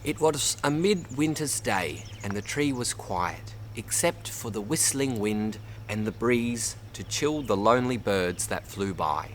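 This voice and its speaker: An Australian man reads a children's story aloud in an expressive way, addressing young listeners as a storyteller. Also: lowish voice, measured pace, hardly any pauses, slight background noise. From Bundaberg, Queensland, Australia. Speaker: storybook reader. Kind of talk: read-aloud children's story, narration with word teaching.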